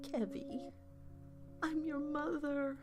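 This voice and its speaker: A woman's voice: high-pitched, steady tone, slow, faint music behind. A woman playing a bratty, spiteful monstrous aunt in a horror audio story, whining and hissing theatrically. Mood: whining, pitiful.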